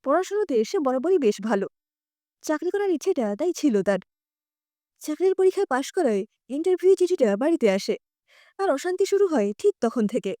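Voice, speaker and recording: A woman's voice: sits high, big pitch swings, fairly quick, dark tone, dry recording, clean studio sound. A woman narrating a scripted story expressively as a storyteller.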